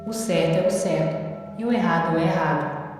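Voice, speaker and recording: A woman's voice: on the low side, normal speed, very echoey, long reverb tail, recorded from a distance, lightly acted. A woman voicing a stern, menacing male creature of authority that lectures in a cold, threatening way, with music underneath. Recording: music bed behind voice.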